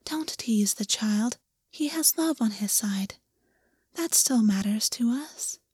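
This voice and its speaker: A woman voicing a gentle paper rabbit in a very soft whisper. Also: fairly high pitch, expressive, slow pace, husky, dry recording, studio quality, full character voice. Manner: gently chiding.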